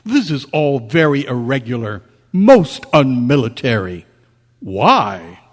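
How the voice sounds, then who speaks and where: A formal, old-fashioned colonel played by a man speaks slowly in a husky voice with lively swings of pitch. It is radio-play acting in an echoey hall. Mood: flustered, indignant. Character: stiff, puzzled but courteous military colonel. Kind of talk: old-time radio style stage acting. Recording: echoey hall at a club meeting.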